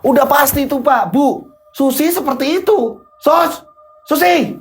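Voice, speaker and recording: An angry, curt husband played by a male storyteller in a dramatic spoken story, with music underneath. His voice is raised high and pushed loud as he scolds and accuses. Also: lively intonation, moderate pace. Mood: accusing. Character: Farid, irritable accusing husband just home.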